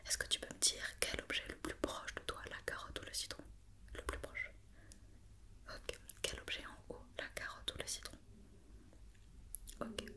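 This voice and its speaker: Female ASMR performer as a doctor: bright, sharp whisper, patient and gentle, repeats instructions softly. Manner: patient, gentle repetition of questions and reassurance. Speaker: ASMR roleplayer playing a doctor. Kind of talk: whispered ASMR medical check-up roleplay.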